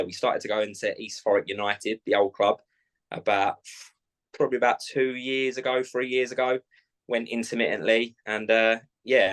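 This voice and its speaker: A man's voice: on the low side, a bit bright, low-quality recording. A man in his thirties from South London, with a South London accent, talking casually and rambling with fillers as an interview guest. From South London, England. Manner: chatty, rambling with many fillers and restarts. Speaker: club owner, guest.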